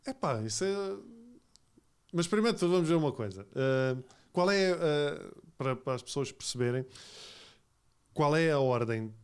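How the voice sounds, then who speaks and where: A man's voice: a little high, expressive, moderate pace, clean tone. A man in his forties with a Lisbon-area Portuguese accent, hosting a casual, jokey interview with informal interjections. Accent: European Portuguese, Lisbon area. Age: 40s. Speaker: podcast host, interviewer.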